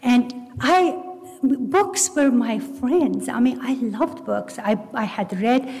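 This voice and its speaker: A middle-aged woman with a Persian accent, whose first language is Farsi, earnestly telling her personal faith story with halting restarts. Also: on the high side, darkish tone. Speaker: testimony speaker. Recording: long hall reverb.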